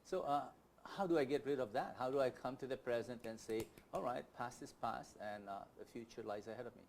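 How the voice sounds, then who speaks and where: A man's voice: medium pitch, fairly quick, vocal fry, darkish tone, slight background noise. A man hosting a conversational interview, probing with questions and acting out hypothetical thoughts as he asks them. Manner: probing, rephrases in imagined first-person quotes. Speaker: talk show host, interviewer.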